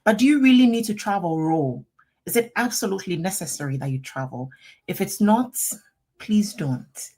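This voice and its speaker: A middle-aged woman attorney, answering viewers' questions on a live stream in a chatty, animated way. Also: medium pitch, moderate pace. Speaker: immigration attorney.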